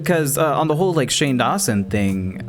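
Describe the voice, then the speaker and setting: A man's voice: mid-range voice, sing-song, moderate pace, music in the background. A man hosting a casual conversation, speaking hesitantly with repeated words and many "like" and "uh" fillers. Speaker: YouTuber host. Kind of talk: casual video-call conversation.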